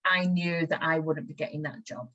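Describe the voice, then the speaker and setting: A woman with a Yorkshire accent speaks frankly about her own experience. Her voice sits a little low, and her delivery is plain and conversational, like an interviewer trading stories. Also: moderate pace, a bit bright, dull audio. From West Yorkshire, England. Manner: frank personal storytelling.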